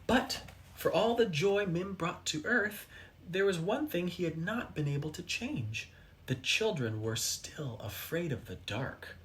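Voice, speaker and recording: A mid-pitched storybook reader delivers a children's tale with animated, swooping intonation. The reading is expressive, with dramatic pauses for young listeners, and faint noise sits behind the voice.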